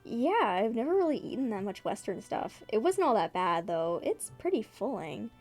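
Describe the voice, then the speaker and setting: Woman reading as a low-key, reserved young comic guy. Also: big pitch swings, normal speed, a little mellow, soft background music. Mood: earnest, low-key. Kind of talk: amateur dramatic comic reading.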